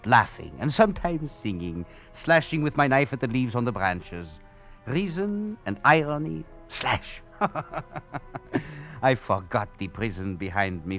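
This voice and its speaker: An actor playing an escaped French convict, a philosophizing rogue, narrating and talking with theatrical, florid phrasing and wry chuckles in 1940s radio drama style. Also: male voice, fairly low pitch, a bit bright. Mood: exultant. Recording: muffled old radio recording with music.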